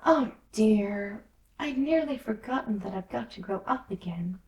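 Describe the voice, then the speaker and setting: Woman as little storybook girl, polite, earnest, puzzled, slow pace, roomy echo. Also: medium pitch, lively intonation, darkish tone. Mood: musing. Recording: roomy echo, distant from mic.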